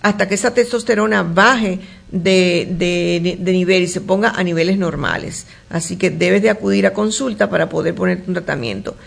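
A woman doctor with a gravelly, rough-edged voice answers listeners' health questions on a call-in show. She talks in a chatty, familiar way, full of fillers and restarts. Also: lowish voice, normal speed, hardly any pauses. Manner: reads questions aloud then answers warmly, with many fillers and restarts.

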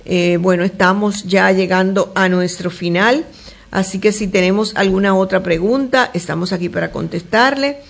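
A woman gynecologist on a call-in radio show, reading listeners' questions aloud and answering them in a warm, chatty way with many fillers and restarts. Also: mid-range voice, steady tone, normal speed, creaky. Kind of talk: call-in health advice show.

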